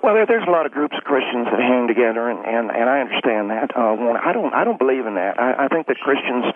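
A man speaking as a reverend guest over the phone, halting with many fillers. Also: medium pitch, lively intonation, no echo. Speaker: youth ministry reverend guest. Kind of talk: phone-in interview. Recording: tinny noisy phone line.

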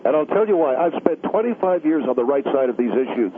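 A self-righteous, pompous pundit, put on by a man holds forth with oblivious smugness. His voice sits a little high. It comes through a tinny phone line with a faint hiss underneath. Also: sing-song, fast. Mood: smug. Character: smug bigoted older male pundit, pompous and oblivious. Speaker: studio guest on a call-in radio show.

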